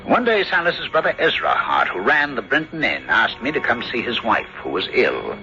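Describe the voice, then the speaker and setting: An actor playing an earnest, inquisitive country doctor who also narrates his story in a measured, theatrical radio drama style. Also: mid-range voice, huge pitch swings, bright, forceful tone, soft background music, full character voice. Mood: grave, troubled. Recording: dull lo-fi old radio broadcast.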